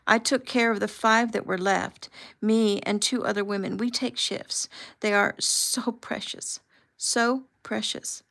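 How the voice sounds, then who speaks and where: A woman voicing a desperate, tearful young woman with a Spanish accent who speaks in breathless, halting bursts. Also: on the low side, steady tone, fairly bright tone. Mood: sobbing, frantic. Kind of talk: audiobook character voice.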